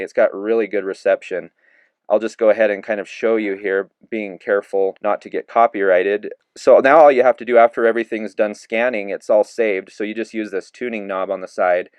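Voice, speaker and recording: Low, smooth-voiced man reviewing a radio. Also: dry recording. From Idaho. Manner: relaxed, easygoing with fillers, demonstrating a device. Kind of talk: casual product review.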